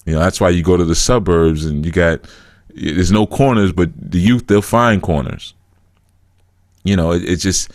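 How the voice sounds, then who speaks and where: A man's voice: sits low, no room sound, studio quality. A man hosting a spiritual call-in show, talking bluntly and giving frank advice to callers. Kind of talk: casual call-in radio talk.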